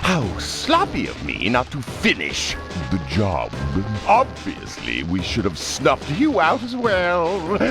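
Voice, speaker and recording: An actor playing a pompous, menacing male villain in a video game cutscene, with a grandiose theatrical delivery and shouted threats. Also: mid-range voice, wildly swooping pitch, slow, heavily acted. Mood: grandiose menace. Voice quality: gravelly, swooping theatrical delivery. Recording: game audio with music behind.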